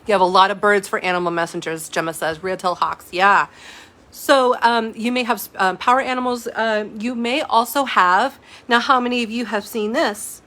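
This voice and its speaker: A woman's voice: medium pitch, steady tone, moderate pace, fairly bright tone. A woman in the middle of her own range teaching a live online class on spiritual coaching. She talks in a loose, chatty way, full of fillers and asides to the people watching. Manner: casual and chatty, many fillers and asides to viewers. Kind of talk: live-stream teaching, reading chat comments.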